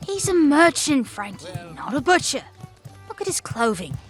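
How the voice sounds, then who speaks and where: A woman playing a cheeky, mocking young street boy in an audio drama, teasing and quick to needle. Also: high voice, big pitch swings, soft background music. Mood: knowing.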